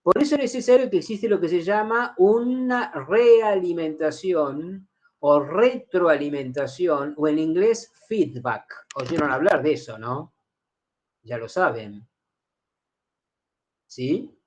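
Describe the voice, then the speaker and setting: A man's voice: a little high, takes it slowly, a little mellow. A middle-aged man giving a university lecture, explaining step by step, repeating key phrases and checking in with his students.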